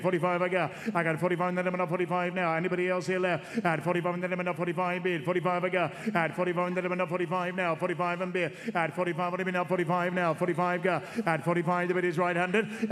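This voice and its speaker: A man's voice: sits high, a bit bright. A man working as an auctioneer at a horse sale, calling bids in a rhythmic, repetitive chant and coaxing the room for more. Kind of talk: rapid bloodstock auction calling. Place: horse sales ring. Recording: long reverb of a sale ring.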